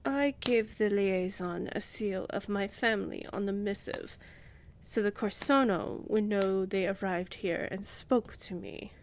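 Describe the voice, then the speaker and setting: A woman plays a commanding vampire countess for a fantasy audio drama. She speaks slowly and regally, coolly indulgent, her breathy voice often sinking toward a whisper. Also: medium pitch, a little hiss or hum, close to an everyday voice. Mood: pensive, courteous. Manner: measured, regal, condescendingly affectionate.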